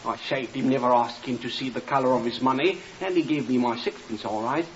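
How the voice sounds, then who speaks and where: A man's voice: mid-range voice, a little fast, lightly acted. An actor playing a garrulous, indignant barber telling his tale in a 1950s radio drama. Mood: chatty. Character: garrulous, indignant small-town English barber. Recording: dull, hissy 1950s radio recording.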